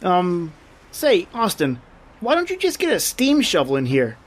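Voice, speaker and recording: A man's voice: sits high, huge pitch swings, measured pace, heavily acted. A man playing an eager, naive sidekick in an old-time radio drama, blunt, folksy and full of questions. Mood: curious. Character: eager, naive, excitable male treasure-curious sidekick.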